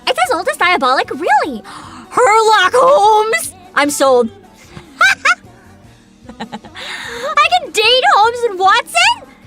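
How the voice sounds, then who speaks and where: A young woman hosting a livestream, chatting casually with an excitable, giggly, informal manner. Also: very high voice, extremely slow, long pauses. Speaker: livestream host, vtuber. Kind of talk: casual livestream chat and reactions. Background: background music.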